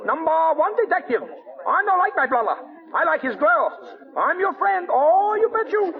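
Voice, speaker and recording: An eager little hoodlum from a 1940s radio play. The voice is extremely high and swoops about, exclaiming in broken English. The old recording is muffled and distant, with a noisy audience. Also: male voice, sing-song, normal speed, crowd noise, full character voice. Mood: excited, triumphant. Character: excitable, loyal small-time hoodlum speaking broken English. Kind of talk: 1940s radio comic character acting.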